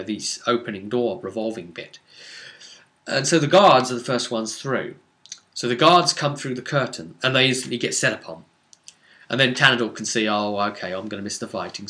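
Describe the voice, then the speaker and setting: A man giving a casual, conversational recap of a role-playing game session as its game master, leaning on drawn-out words for emphasis. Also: medium pitch, sharp tone.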